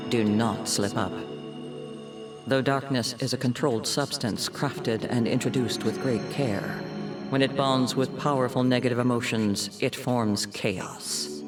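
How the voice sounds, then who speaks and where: A man's voice: mid-range voice, fairly even intonation, measured pace, lightly acted. A man playing a cold, ominous overseer in a video game, speaking formally and sternly. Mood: cold, instructive. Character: cold, ominous male overseer giving warnings. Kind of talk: video game cutscene monologue. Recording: distant voice under prominent music.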